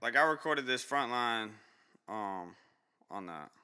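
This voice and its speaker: A young man on a live stream gives blunt, slangy feedback, his voice bright and crisp with a smooth clarity. He talks into a close studio mic in a small home room, with some long reverb on the voice and faint music underneath. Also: animated, slow pace. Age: young adult. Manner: blunt, slangy, swears, jumps high in pitch when reacting. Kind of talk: casual live-stream critique. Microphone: studio mic close up, headphones on.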